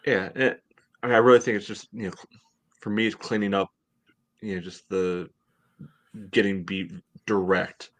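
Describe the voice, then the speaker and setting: A man's voice: lowish voice, steady tone, normal speed, long pauses. A middle-aged American man co-hosting a podcast, casually analyzing sports from home over a video call. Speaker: podcast co-host.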